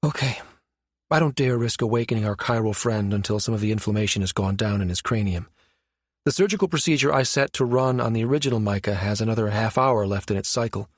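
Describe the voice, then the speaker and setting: A man voicing a serious, quietly urgent woman scientist with a measured, firm manner in a science fiction audiobook. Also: on the low side, no room sound, studio quality, close to an everyday voice. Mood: resolved.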